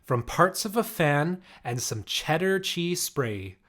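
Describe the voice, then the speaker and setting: A man reading a rhyming children's picture book aloud in an expressive, measured way. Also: fairly high pitch. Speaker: story reader.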